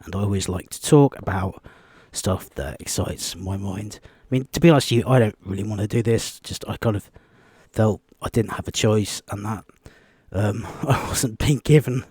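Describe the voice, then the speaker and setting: A man with a quiet, lowish voice talks through a Bible study off the cuff, hesitating and restarting often. The room sounds dry and close. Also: moderate pace, breathy edge, no echo. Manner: rambling, hesitant, many ums and restarts. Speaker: Bible teacher.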